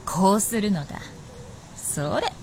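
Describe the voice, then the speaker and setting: An actress playing a playful, confident, centuries-old fox princess in a radio drama, with teasing, old-fashioned haughty speech. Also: female voice, mid-range voice, sing-song, slow pace, heavy background noise. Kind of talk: Japanese radio drama acting.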